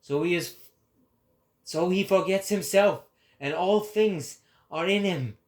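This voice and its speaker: An exalted male prophet, as a man acts him out in a dramatic philosophical reading. He declaims solemnly and very slowly, weighting each phrase with emphasis. His voice sits a little high.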